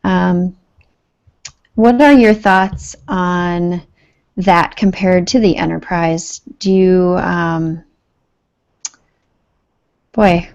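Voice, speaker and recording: A woman's voice: on the low side, steady tone, drawn-out words, creaky, long pauses, darkish tone, muffled recording. A woman co-hosting a casual tech talk show, chatting loosely, laughing often and sometimes searching for her words. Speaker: podcast co-host. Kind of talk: casual chat.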